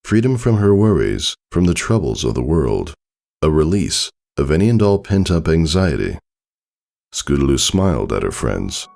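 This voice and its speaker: A man's voice: extremely low pitch, very animated, moderate pace, vocal fry, darkish tone. A man reading a story aloud as narrator, in a scripted storybook style. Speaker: narrator, fan fiction reader.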